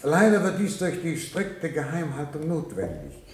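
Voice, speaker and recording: A formal, authoritative man as a bureaucratic project director in a 1970s radio play. He lectures in measured, smoothly evasive phrases, with a roomy echo around his voice. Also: a little high, big pitch swings, darkish tone. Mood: firm, justifying. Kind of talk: 1970s German radio drama acting, formal address.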